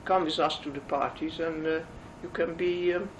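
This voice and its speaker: An older woman from the Netherlands, speaking English with a Dutch accent, recalls her past in an oral history interview, pausing often with fillers. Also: low voice, steady tone, roomy sound, muffled recording. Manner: halting, many pauses and fillers. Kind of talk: reminiscing conversation. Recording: distant mic, faint noise.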